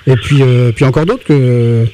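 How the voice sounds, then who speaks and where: A man's voice: mid-range voice, noisy background. A man hosting a casual radio interview, often hunting for words with fillers and restarts. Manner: quick, searching for words with many fillers. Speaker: radio host.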